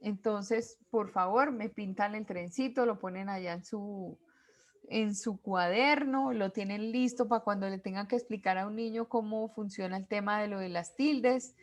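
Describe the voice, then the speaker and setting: A woman's voice: mid-range voice, normal speed. A woman teaching a class, explaining step by step with spoken examples and quiz questions for her students. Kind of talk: classroom lecture.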